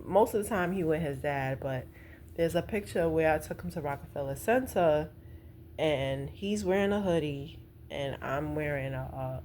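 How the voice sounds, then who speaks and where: A woman's voice: deep voice, warm tone. A middle-aged woman, chatting casually in an informal, meandering, conversational way as a vlogger. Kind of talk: casual rambling chat. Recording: noticeable background noise.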